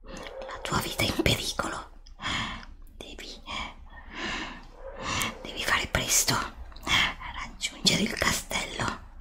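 A woman playing a regal, protective princess, speaking in grave, measured whispers for an ASMR roleplay. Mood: grave warning. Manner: grave, measured, gently commanding. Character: regal castle princess, solemn, protective, warning.

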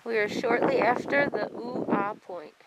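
A woman's voice: on the high side, unhurried. A woman narrating a casual hiking video on a canyon trail, chatty and amazed by the views, recorded on a handheld camera outdoors. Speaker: hiker filming a trail vlog. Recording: loud wind noise on camera mic. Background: loud wind and outdoor noise.

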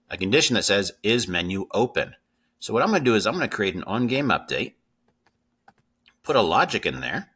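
A man in his thirties with a low-pitched, bright and forward voice walks learners through a coding tutorial. He explains each step informally, checking in with short tag questions as he goes. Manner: informal, instructive, checks in with "right?" and "okay?". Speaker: coding teacher. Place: indoors at a computer.

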